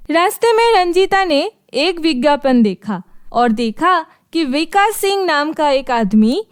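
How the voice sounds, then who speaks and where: Female narrator for children's fairy tales, very high voice, slow delivery. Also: no echo, clean studio sound. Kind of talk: scripted fairy tale narration.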